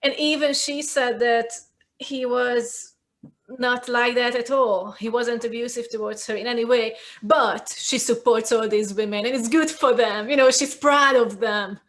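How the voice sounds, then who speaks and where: A woman speaks as a guest in a casual conversation, her voice sitting somewhat high. She is articulate and emphatic and works through her points aloud. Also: steady tone, unhurried, a bit bright. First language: Hungarian.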